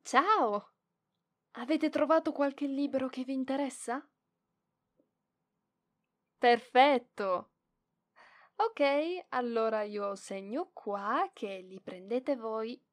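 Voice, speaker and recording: A woman's voice: fairly high pitch, takes it slowly, long pauses. A woman voicing a proud, easily flustered young librarian in an ASMR roleplay, bossy but fond, with hesitant stammers. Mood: friendly, covering up. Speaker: voice actress in audio roleplay.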